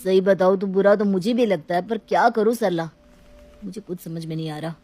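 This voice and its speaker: A woman's voice: mid-range voice, fairly quick, warm tone, soft background music, close to an everyday voice. A woman voicing a mild married man torn between relatives, speaking in a placating, hesitant way. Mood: troubled.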